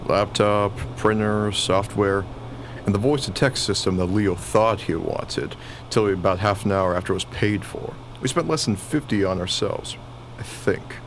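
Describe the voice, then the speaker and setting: A man's voice: on the low side, normal speed, natural voice. A man playing a wry, grumbling, baffled working husband who is short of money, in a radio play. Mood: gloomy. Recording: noisy recording, vehicle-like ambience.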